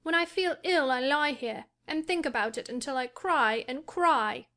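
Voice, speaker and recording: Woman narrator voicing a spoiled invalid boy of ten, high-pitched, imperious and cross. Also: moderate pace, fairly bright tone. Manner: demanding, cross and indifferent. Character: sickly spoiled ten-year-old boy, fretful and imperious. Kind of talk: audiobook character voice.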